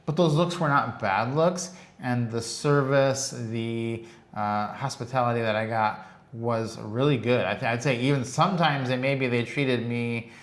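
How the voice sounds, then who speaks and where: A man from Detroit co-hosting a casual travel chat, telling relaxed stories and quoting people. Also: medium pitch, a little slow. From Detroit, USA. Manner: relaxed storytelling, quotes people. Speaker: co-host. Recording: echoey room.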